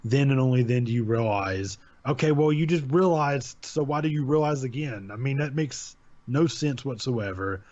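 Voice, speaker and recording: A man's voice: mid-range voice, lively intonation, moderate pace, hardly any pauses, a little mellow. Laid-back young man on a dull home mic. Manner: rambling, laid-back, self-correcting. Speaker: channel host reviewing horror stories. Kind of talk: casual chat. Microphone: desk mic with pop filter.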